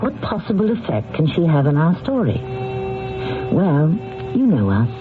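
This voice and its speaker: A man's voice: fairly high pitch, sing-song, normal speed. A man hosting and narrating a mystery drama in a theatrical, questioning manner. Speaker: host and narrator. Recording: tinny old radio, music behind.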